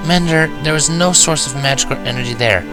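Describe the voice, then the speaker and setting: A man's voice: a little high, a little fast, music in the background, pitched above the performer's own voice, close to an everyday voice. A man voicing a bossy, skeptical young female unicorn scholar in a fan-fiction story reading, dry and lecturing. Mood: exasperated.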